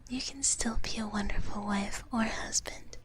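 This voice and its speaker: A woman hosting an ASMR livestream in a breathy whisper, speaking soothingly and gently repeating her phrases. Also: medium pitch, fairly even intonation, moderate pace. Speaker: livestream host.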